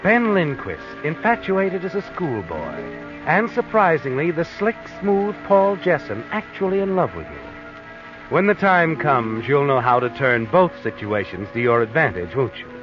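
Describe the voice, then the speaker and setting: Sinister all-knowing radio narrator, animated insinuating delivery, music behind, muffled vintage sound. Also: male voice, on the high side. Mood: sly, knowing. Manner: insinuating second-person taunting, dramatic pauses. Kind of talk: 1940s radio mystery narration.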